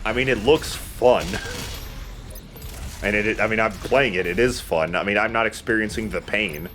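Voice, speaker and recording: A man's voice: fairly low pitch, moderate pace, fairly bright tone, slight background noise. A man giving casual, chatty, self-deprecating commentary while he plays a video game as host. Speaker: let's play host playing the game.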